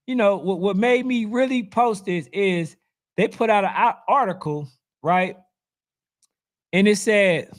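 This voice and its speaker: A man with a hoarse voice, losing it as he talks, hosting a casual sports commentary and reading out viewer comments in an animated, preachy way. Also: high voice. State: losing his voice. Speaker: youth football channel host.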